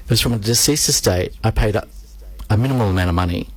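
A man with an Australian accent and a husky voice, hosting a community radio chat show with camp, playful banter. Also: on the low side, quick pace, no echo. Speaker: radio host. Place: radio studio. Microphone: studio microphone.

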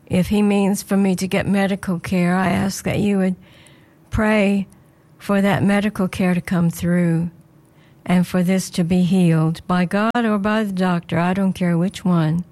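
Woman, radio presenter, slightly hoarse, earnest devotional chat, medium pitch. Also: steady tone, a little slow, darkish tone. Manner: earnest, prayerful, conversational.

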